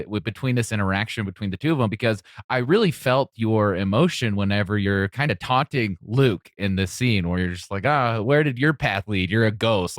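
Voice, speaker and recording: A man's voice: mid-range voice, no room sound, clean studio sound. A man hosting a podcast, interviewing his guests in a conversational way. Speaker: podcast host.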